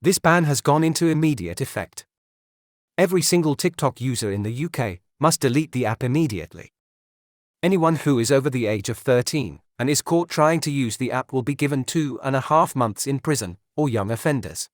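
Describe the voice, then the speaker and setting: A man playing a grave emergency broadcast announcer, reading a scripted bulletin in a formal, measured way. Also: mid-range voice, expressive, dry recording, clean studio sound, lightly acted.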